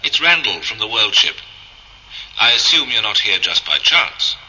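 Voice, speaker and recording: A man's voice: lowish voice, sing-song, moderate pace, pushed and bright, husky, exaggerated voice. An actor playing a world-weary, sardonic male machine being in a radio drama, with wry, clipped delivery. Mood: wry resignation. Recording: very noisy old radio drama recording.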